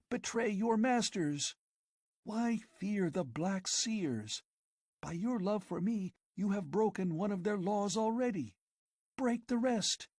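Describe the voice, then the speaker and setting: A man's voice: high voice, a little mellow, no echo, studio quality, voice pushed up, heavily acted. A man reading an audiobook, voicing a fierce, passionate young woman who pleads urgently and breathlessly. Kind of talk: audiobook character voice.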